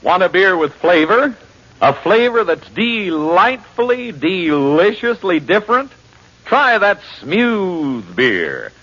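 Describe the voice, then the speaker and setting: High, bright, drawn-out 1940s male pitchman. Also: big pitch swings. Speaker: commercial announcer. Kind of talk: 1940s radio slogan delivery. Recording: dull old radio recording.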